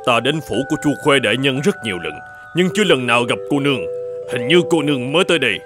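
A man voicing a gallant, flattering general in an animated fairy tale, courteous and formal. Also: mid-range voice, fast talker, lightly acted. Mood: gallant, admiring. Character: gallant, flattering adult male general charmed by a beauty. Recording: music playing behind the voice.

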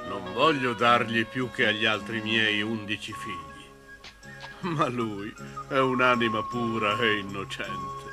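An actor playing a gentle, doting old patriarch with a tender, measured fatherly manner in a biblical cartoon. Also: on the low side, music in the background, natural voice. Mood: fond, earnest. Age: older. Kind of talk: dubbed Italian animated cartoon acting.

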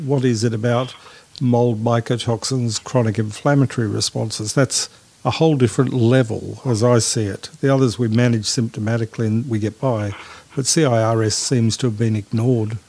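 A man's voice: medium pitch, steady tone, normal speed, darkish tone. A man hosting a medical panel discussion, asking reflective, leading questions. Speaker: host. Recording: replay of a Clubhouse audio room.

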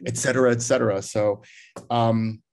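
A man's voice: medium pitch, steady tone, normal speed, darkish tone. A middle-aged man, a theater director, talking at home over a video call in a reflective, rambling way with many restarts. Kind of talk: casual video call conversation. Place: living room at home.

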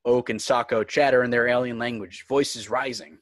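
A man's voice: medium pitch, fairly even intonation, moderate pace, no echo, studio quality. A man reading screenplay stage directions as the narrator of a script table read.

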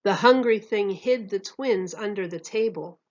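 A woman's voice: medium pitch, unhurried, a little mellow, lo-fi sound. A woman narrating a children's picture book expressively, like a teacher reading aloud.

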